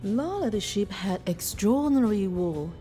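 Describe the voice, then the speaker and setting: A woman reading a children's picture book aloud in an expressive, deliberate storytelling manner. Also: medium pitch, soft background music. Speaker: storybook reader.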